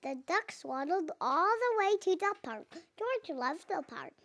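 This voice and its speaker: A four-year-old girl reading a picture book aloud, haltingly, sounding out and repeating words. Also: extremely high pitch, big pitch swings, a bit bright. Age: young child, four and a half. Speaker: child reader.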